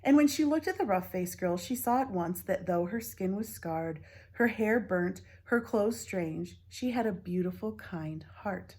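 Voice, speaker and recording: A woman teacher reading a picture book aloud to children, expressive, pausing for soft whispered asides. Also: mid-range voice.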